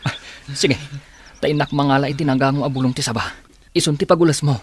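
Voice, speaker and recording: An actor playing a young man stranded on an island, talking quickly and eagerly in a radio drama. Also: medium pitch, fairly even intonation, slight background noise, close to an everyday voice. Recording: radio drama with music bed.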